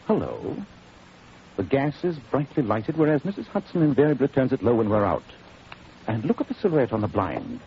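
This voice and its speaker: An actor playing a confident, courteous detective in 1940s radio style, with a precise British manner of speech. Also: male voice, mid-range voice, very animated, normal speed. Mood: assured, wry. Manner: precise, assured, formal. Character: famous male detective, keen, confident and courteous. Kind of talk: 1940s radio drama acting. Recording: dull, hissy old radio recording.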